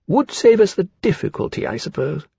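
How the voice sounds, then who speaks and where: A man's voice: high voice, big pitch swings, a little fast, dark tone, husky, lo-fi sound, pitched above the performer's own voice, heavily acted. A man playing a haughty, scornful aviator officer with a cutting, impatient manner in a period fantasy audiobook. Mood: impatient, sneering.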